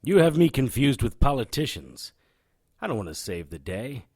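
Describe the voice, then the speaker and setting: An actor playing a cocky, sardonic occult investigator in an audio drama, with a wry, taunting delivery. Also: male voice, mid-range voice, sing-song, normal speed, darkish tone, husky, dry recording, studio quality. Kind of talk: audio drama acting with noir-style narration.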